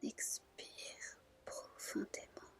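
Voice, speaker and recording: A woman hosting whispered ASMR, gently and soothingly guiding a single listener into relaxation. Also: slow. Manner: soothing, gentle, intimate address to one listener. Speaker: ASMR channel host and reader.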